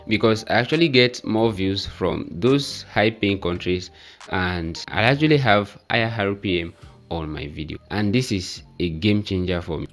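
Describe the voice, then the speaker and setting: A man's voice: sits low, fairly bright tone. A man with a Nigerian accent, explaining steps in an earnest, repetitive tutorial manner. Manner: earnest, repetitive step-by-step instruction. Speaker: YouTube growth tutor. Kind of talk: tutorial talking to camera.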